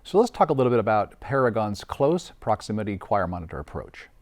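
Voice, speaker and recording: A man's voice: lowish voice, very animated, a little fast, darkish tone. Man hosting a scripted studio interview. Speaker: host, video and audio designer.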